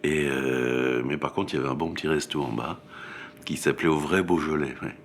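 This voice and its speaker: A middle-aged man reminiscing casually in an interview. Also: brisk. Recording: faint rumbling background noise.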